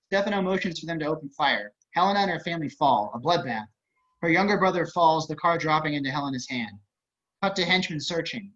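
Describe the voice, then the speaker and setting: Bright, higher-voiced man reading stage directions. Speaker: table-read narrator. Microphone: video call. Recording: slightly dull video-call audio.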